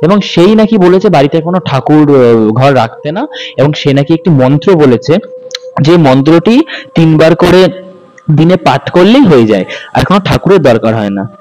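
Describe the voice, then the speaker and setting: Mellow-voiced man telling a horror story. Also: fairly high pitch, moderate pace, rough recording. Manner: calm, steady storytelling in a woman's first-person voice. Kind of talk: first-person horror story reading.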